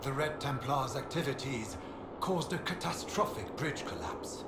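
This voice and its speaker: An actor playing a courtly, ingratiating aristocratic baron in a video game, speaking with flowery formality. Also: male voice, medium pitch, animated, a little slow, reverberant, very noisy. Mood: courteous. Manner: formal, flowery greeting style.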